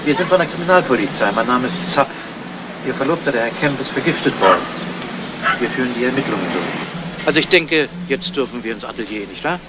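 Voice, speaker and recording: A man's voice: medium pitch, animated, fairly quick, heavy background noise, close to an everyday voice. An actor playing a police detective in a radio crime drama, matter-of-fact, politely probing with his questions. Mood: insistent. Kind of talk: 1970s German radio crime drama acting.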